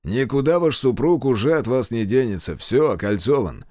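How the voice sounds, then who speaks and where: Calm ironic soviet major, narrator doing him, measured, lively pitch, dry close sound. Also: male voice, no room sound, lightly acted. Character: calm, wry male Soviet militia major.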